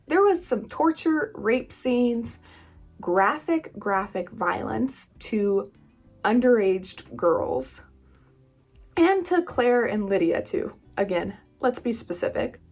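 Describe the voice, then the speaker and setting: Higher voiced woman doing a chatty book review, takes her time, lots of emphatic little asides. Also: creaky, darkish tone. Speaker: book reviewer and channel host. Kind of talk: casual chatty video monologue.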